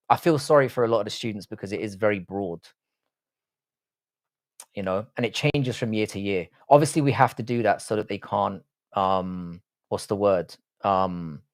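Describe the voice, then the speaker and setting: A man with a London accent, live streaming casually, answering chat in an informal, matey way and swearing freely. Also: on the low side. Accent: London English. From London, England.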